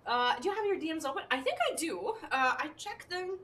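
A woman's voice: sits high, lively intonation, fairly quick, fairly bright tone, far from the mic, a little hiss or hum. A young woman from Serbia chatting casually with her live-stream chat and her dog while playing a game at home.

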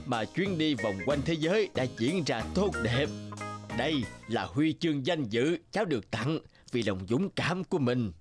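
A man narrating a cartoon story, gentle as a father telling a tale to his child. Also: mid-range voice, big pitch swings, normal speed, over music.

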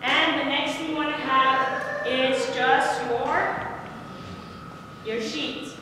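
A young woman giving a live health demonstration, speaking loudly through a handheld microphone and putting questions to the audience. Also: high voice, noisy background. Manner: projecting, quizzing the audience. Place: large church hall. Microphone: handheld microphone, far camera. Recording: very echoey hall, from far off.

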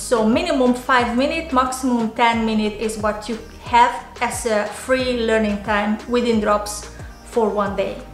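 A woman's voice: fairly high pitch, fairly bright tone. A woman in her twenties with a Hungarian accent, a YouTuber earnestly explaining tips to camera from her home office. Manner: earnest, explaining step by step, slight non-native phrasing. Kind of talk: casual to-camera tips vlog. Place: small home office indoors. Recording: roomy home office, faint music.

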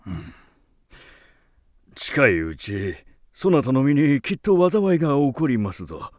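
A man's voice: medium pitch, big pitch swings, unhurried, darkish tone, dry recording, clean studio sound. An actor playing a wary, inquisitive old man in a radio drama, with solemn, archaic elderly speech. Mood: grave warning. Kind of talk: radio drama acting of a ghost tale.

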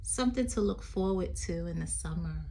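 A middle-aged woman reading a poem aloud to young children like a teacher, expressive and sing-song, with chatty asides. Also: fairly low pitch, fairly quick, vocal fry, a little hiss or hum. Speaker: teacher reading to her class. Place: small room indoors. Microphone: phone at arm's length.

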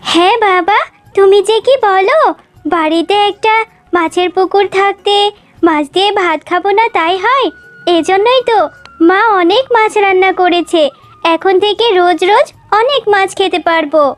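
A woman's voice: extremely high pitch, moderate pace, soft background music. A woman voicing an eager, kind little fox daughter in a children's cartoon, childlike and emphatic. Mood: happy. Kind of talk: Bengali children's cartoon dialogue.

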